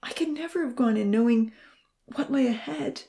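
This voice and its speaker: A woman voicing an anguished young male burn survivor, humble and guilt-ridden, halting with a breathy, choked voice. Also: fairly high pitch, warm tone, pitched above the performer's own voice. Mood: choked, solemn. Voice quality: breathy, choked voice breaking into sobs. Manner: halting, pleading, confessional.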